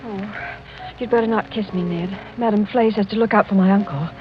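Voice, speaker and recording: A woman's voice: mid-range voice, fairly even intonation, fairly quick, close to an everyday voice. A woman playing an anxious young noblewoman in a 1940s radio mystery, with a tense, foreboding delivery. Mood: worried. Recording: muffled 1940s radio recording.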